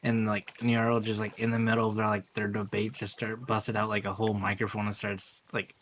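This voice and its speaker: A man's voice: lowish voice, monotone, fairly quick, fairly bright tone, a little hiss or hum. A man chatting casually on a gaming stream, rambling and filling his talk with "like". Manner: rambling, heavy use of "like". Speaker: streamer co-host.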